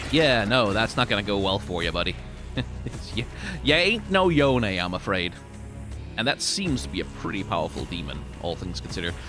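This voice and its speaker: Animated man giving casual reaction commentary. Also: on the low side, moderate pace, music in the background. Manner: animated, colloquial, sweary, sardonic. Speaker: reaction video host, commentator.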